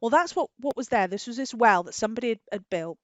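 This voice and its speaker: A British woman hosting story time for young children, asking animated questions, stretching key words and adding tag questions. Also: fairly high pitch, fast talker, no room sound. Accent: British English.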